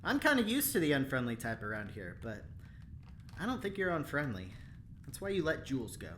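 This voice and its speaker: A cocky jailed engineer in an audio drama, low-voiced and swinging widely in pitch. The delivery is breezy, playful and teasing, with faint noise behind. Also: female voice, very animated, brisk, fairly bright tone, heavily acted. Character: cocky, teasing engineer locked up in a prison facility.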